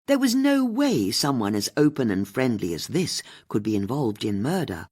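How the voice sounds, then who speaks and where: An English woman narrates a novel as an audiobook. Her voice moves widely in pitch and has a soft huskiness, with the polish of scripted storytelling. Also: sing-song, moderate pace, slightly rough.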